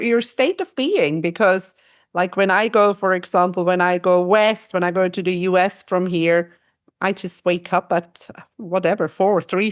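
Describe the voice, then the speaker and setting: Woman host, halting and chatty. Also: mid-range voice, no echo, clean studio sound. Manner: halting, restarts phrases often. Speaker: podcast host. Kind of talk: conversational interview.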